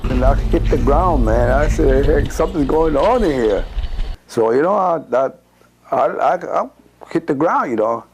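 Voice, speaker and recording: An older man from Texas recalls events informally as an eyewitness, halting and repeating himself as he tells it. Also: on the high side, slight background noise. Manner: halting, repetitive, animated storytelling with "man" and "you know". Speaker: eyewitness interviewee. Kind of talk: informal spoken recollection.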